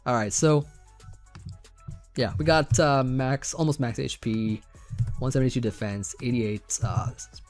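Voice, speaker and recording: Relaxed rambling young man, slightly hoarse. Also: medium pitch, a little slow, long pauses, faint music behind. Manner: relaxed, rambling, many fillers and restarts, light laughs. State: getting hoarse. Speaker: competitive Pokemon team builder. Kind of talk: casual gaming commentary over team building.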